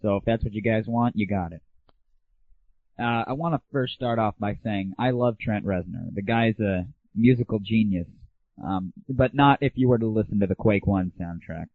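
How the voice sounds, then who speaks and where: A man's voice: fairly low pitch, normal speed, no echo. A man hosting an internet audio show, giving casual, opinionated solo commentary with irreverent, crude humor. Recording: thin phone-like early internet audio.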